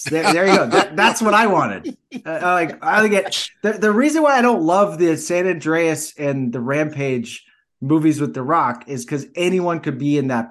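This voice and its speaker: A man giving emphatic opinions in a casual movie discussion, often repeating his phrases. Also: on the high side.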